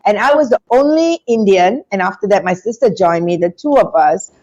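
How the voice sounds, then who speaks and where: A woman's voice: mid-range voice, a little fast, dull audio. A middle-aged woman, telling her life story as a guest on a video-call interview with a lapel mic in a small room. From Singapore. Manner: animated storytelling with hand gestures. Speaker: guest, business school founder.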